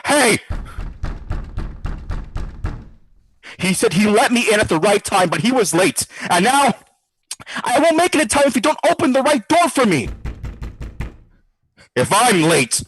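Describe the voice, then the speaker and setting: A man's voice: high-pitched, very animated, normal speed, pauses often, a bit bright, slight background noise. An actor playing a drunken, rambling, agitated man hunting for a door, talking to an unseen listener in an audio drama. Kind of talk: audio drama found-tape monologue.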